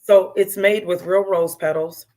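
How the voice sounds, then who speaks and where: A woman's voice: fairly low pitch, steady tone, unhurried, a little mellow. A woman in her fifties, talking casually as a guest and repeating herself for emphasis. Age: 50s. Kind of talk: casual chat.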